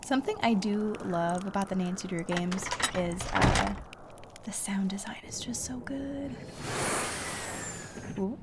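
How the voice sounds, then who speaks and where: A woman's voice: medium pitch, unhurried, pauses often. A woman giving casual gameplay commentary as she streams a video game. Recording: loud noise, clicks.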